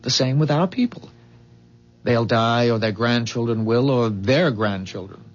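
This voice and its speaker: An actor playing a calm, earnest man from a dying future, explaining gravely in measured 1970s radio drama style. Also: medium pitch, close to an everyday voice. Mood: solemn, earnest. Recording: dull, muffled 1970s radio drama recording.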